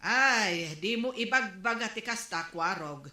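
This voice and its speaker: A woman's voice: mid-range voice, expressive, unhurried, sharp tone, echoey room. An actress playing a stern mother who scolds and nags in a comedic drama. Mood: scolding. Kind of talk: comedic radio drama acting.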